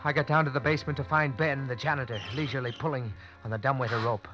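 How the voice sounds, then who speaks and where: An actor playing a nervous, desperate young college student, urgent and tense in a vintage radio drama. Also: male voice, mid-range voice, steady tone, fast, dull audio, loud music behind the voice, natural voice. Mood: tense narration. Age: young adult. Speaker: radio drama lead and narrator. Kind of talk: 1940s-50s radio acting with first-person narration.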